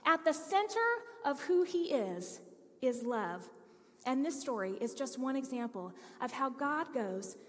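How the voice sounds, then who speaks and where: Woman pastor, high pitched, earnest emphatic sermon delivery, reverberant sanctuary sound. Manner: earnest storytelling preacher, lively emphasis. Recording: long hall reverb.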